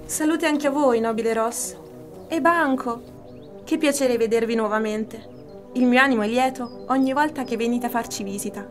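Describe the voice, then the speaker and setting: A woman's voice: on the high side, moderate pace, soft background music, close to an everyday voice. An actress playing an ambitious, scheming, commanding noblewoman in an Italian radio drama, theatrical and formal. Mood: gracious, deferential.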